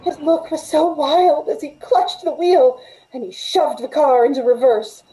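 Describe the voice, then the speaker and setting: A woman acting as a scared young woman in a radio suspense play. Her voice is high and soft-edged, anxious and imploring, recorded over a home call with faint noise. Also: unhurried, poor audio. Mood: panicked narrating. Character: frightened, pleading young working woman held captive. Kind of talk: old-time radio suspense acting, first-person narration. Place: indoors at home on a video call.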